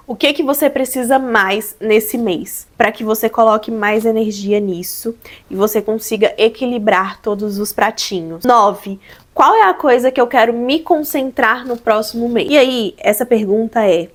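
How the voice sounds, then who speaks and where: A young woman with a Brazilian accent talks her viewers through advice in a relaxed, chatty vlog. Her voice sits a little high, and a cold has left it hoarse and slightly stuffy. She explains each point patiently, speaking straight to her audience. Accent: Brazilian Portuguese. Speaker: vlogger.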